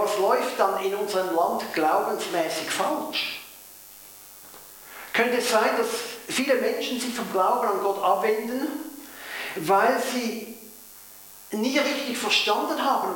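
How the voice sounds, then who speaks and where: A man with a Swiss German accent preaching a sermon in a church, speaking measured and stressing key phrases. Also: high-pitched, a bit bright. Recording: very echoey church hall.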